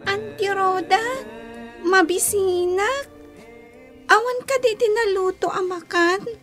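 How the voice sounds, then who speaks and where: Tiny, very high-pitched plaintive girl. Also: fairly even intonation, takes it slowly, music in the background. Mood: pleading, hungry. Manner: whiny, pleading. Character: hungry, meek, plaintive little girl. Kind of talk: Ilocano radio drama acting.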